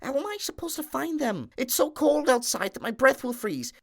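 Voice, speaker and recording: Brief: high, tearful young maiden in a storybook, put on by a male reader; pleading, well above his own voice. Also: big pitch swings, normal speed, vocal fry, a bit bright, full character voice.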